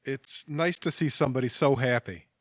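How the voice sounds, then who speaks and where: An actor playing a wry but kindly stranger in an audio drama, conversational and dry-witted. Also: male voice, mid-range voice, moderate pace, no echo, natural voice. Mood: gentle, wry. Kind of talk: audio theatre acting.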